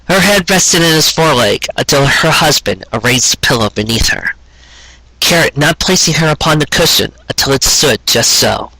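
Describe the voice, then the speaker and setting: A man's voice: medium pitch, sharp tone, slightly rough, no room sound, rough recording. A man narrating a scripted story in an earnest, sentimental manner. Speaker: narrator reading fan fiction.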